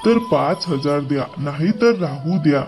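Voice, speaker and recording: A man voicing a shrewd, unyielding village landowner in an animated story, firm and businesslike. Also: fairly high pitch, a little slow, music in the background. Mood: firm bargaining. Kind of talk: animated moral story dialogue.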